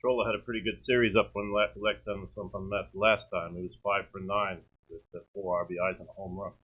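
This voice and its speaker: Low husky man giving baseball stats. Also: level tone, brisk, a bit bright. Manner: hesitant, fills in player stats. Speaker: color commentator. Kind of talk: baseball color commentary. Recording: thin phone-like stream audio.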